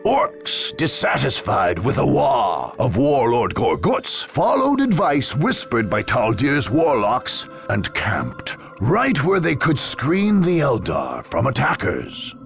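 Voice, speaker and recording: Slow, gravelly male war chronicler. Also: mid-range voice, huge pitch swings, faint music behind, full character voice. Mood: grave, scornful. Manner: solemn, theatrical, deliberate. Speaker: narrator. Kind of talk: dramatic scripted game narration.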